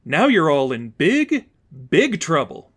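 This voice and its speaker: A cocky young superheroine from a storybook reading, played by a man, talks in a raised pitch. She is breezy and sarcastic, tossing out gleeful wisecracks in a chatty way. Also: huge pitch swings, takes it slowly, noisy background, heavily acted. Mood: triumphant.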